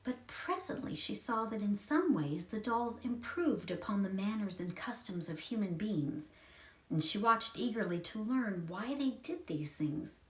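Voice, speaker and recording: A woman's voice: medium pitch, animated, measured pace, echoey room, low-quality recording. A woman reading a children's story aloud as a scripted narration.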